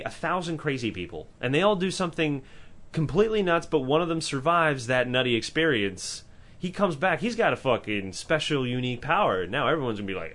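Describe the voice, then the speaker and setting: A man with a powerful voice co-hosting a podcast in casual discussion, talking in an animated way with swearing, restarts and asides. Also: on the high side. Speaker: podcast co-host.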